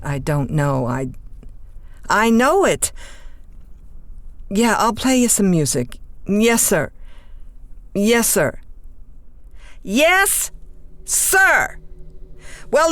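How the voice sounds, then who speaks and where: A flustered, swooping-voiced radio hostess. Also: female voice, mid-range voice, expressive, a bit bright, dry recording. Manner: halting, stammering, exclamatory. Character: flustered overnight radio hostess, rattled and snappy. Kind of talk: radio drama acting.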